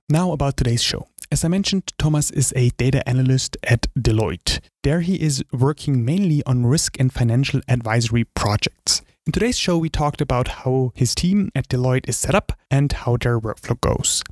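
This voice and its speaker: A man's voice: medium pitch, darkish tone, no room sound. A man hosting a podcast, reading a scripted intro and then chatting with a guest in an upbeat way. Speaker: podcast host. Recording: dry voice with faint music.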